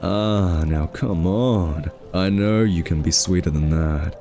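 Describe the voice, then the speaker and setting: A man's voice: low voice, takes it slowly, vocal fry, dark tone, faint music behind, full character voice. A male voice actor playing a smug, flirty thief in an ASMR roleplay, teasing and drawling with suggestive innuendo. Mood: mocking, coaxing. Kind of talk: ASMR roleplay, scripted character acting.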